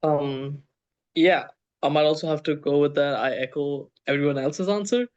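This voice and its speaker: Young guy from saudi arabia, kinda high voice, earnest student panel talk. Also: moderate pace, dull audio. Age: young adult. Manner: earnest, talks with fillers and restarts. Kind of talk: casual panel answer.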